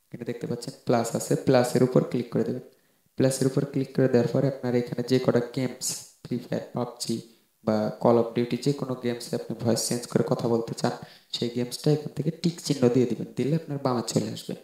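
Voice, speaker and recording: A man's voice: medium pitch, little pitch movement, fast, creaky, dark tone. A man narrating an informal how-to tutorial, explaining step by step and often repeating himself. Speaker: tech tutorial host. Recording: roomy echoing voice-over.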